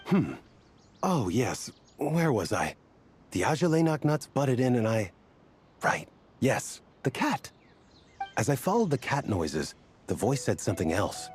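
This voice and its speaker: A man's voice: medium pitch, sing-song, measured pace, pauses often, a little mellow, slight background noise. A man voicing a rambling, absent-minded scholar of desert lore in a video game, earnest and hesitant. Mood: distracted.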